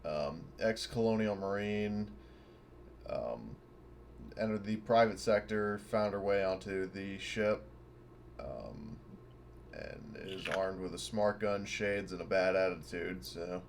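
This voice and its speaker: A man with a deep, creaky voice chats casually as a player in an online tabletop game. There is a light layer of noise on his home mic. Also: lively intonation, takes it slowly.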